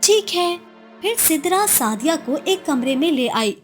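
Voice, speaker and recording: A woman's voice: high voice, animated, normal speed, soft background music. A woman reading scripted storytelling narration for a cartoon moral tale as its narrator.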